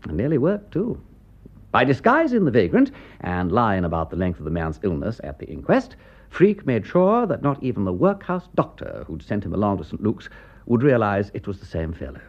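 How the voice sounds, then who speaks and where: An actor playing an aristocratic English gentleman detective in a radio drama, with an upper-class English accent and a witty, breezy, urbane manner. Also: lowish voice, wildly swooping pitch, moderate pace, muffled recording, full character voice. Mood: animated explaining. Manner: aristocratic, breezy, clipped period phrasing.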